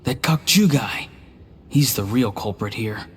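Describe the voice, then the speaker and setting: A man voicing a gentle, earnest, considerate young man in a video game, polite and softly spoken. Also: medium pitch, animated, unhurried, a little hiss or hum, natural voice. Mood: reassuring.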